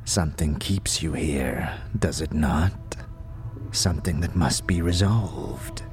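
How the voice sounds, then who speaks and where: Ancient male scribe: deep, extremely slow, weary and wheezy, solemn audio-drama sage. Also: darkish tone, soft background music. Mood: grave, philosophical. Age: old. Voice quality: breathy, ragged wheeze. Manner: slow, solemn, halting delivery. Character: ancient, weary, cryptic old male undead-realm scribe.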